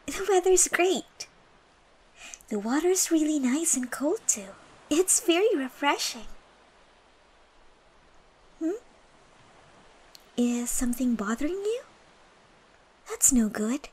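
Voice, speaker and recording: A woman voicing a gentle, cheerful young female dancer in a soft, soothing ASMR comfort roleplay, breathy and encouraging. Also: high voice, very animated, takes it slowly, pauses often, full character voice. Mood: warm, encouraging. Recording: faint background music and ambience.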